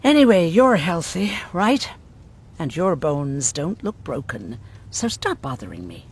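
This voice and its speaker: An actress playing a gruff, sardonic, world-weary woman medic who survived a prison camp, in a dramatic video game style. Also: sits low, big pitch swings, measured pace, darkish tone, a little hiss or hum, exaggerated voice. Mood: irritated.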